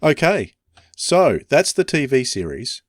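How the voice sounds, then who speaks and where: A man's voice: mid-range voice, big pitch swings, unhurried, no echo. Male podcast host, close dry sound. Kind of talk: conversational podcast discussion.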